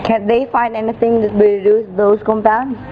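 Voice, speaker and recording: A woman's voice: a little high, flat delivery, normal speed, close to an everyday voice. A woman playing a restless, bookish young male college student in an amateur radio play, speaking plainly and earnestly. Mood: curious. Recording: dull lo-fi audio, noisy background.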